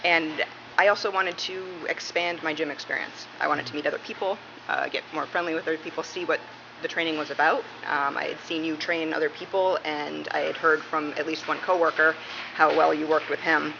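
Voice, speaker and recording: A woman's voice: deep voice, steady tone, brisk. A woman from Massachusetts answering questions in a casual interview. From Massachusetts, United States. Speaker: interviewee, police dispatcher. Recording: muffled, clearly noisy room recording.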